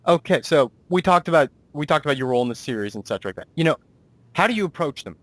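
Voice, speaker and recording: A man's voice: a little high, animated, quick pace, no echo. Casual man hosting a chat, dry sound. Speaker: interviewer, host. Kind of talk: casual chatty interview.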